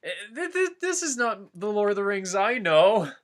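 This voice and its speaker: A man's voice: high voice, big pitch swings, moderate pace. A man doing a comic dramatic reading of fan fiction, amused and breaking off to chat about the text. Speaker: reader and co-host.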